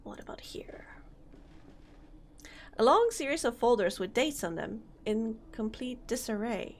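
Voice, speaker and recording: A young woman streaming a game, chatting casually, reading on-screen text aloud and laughing often, her voice slightly hoarse. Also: a little high, animated, unhurried, a little hiss or hum. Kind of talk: casual let's-play commentary.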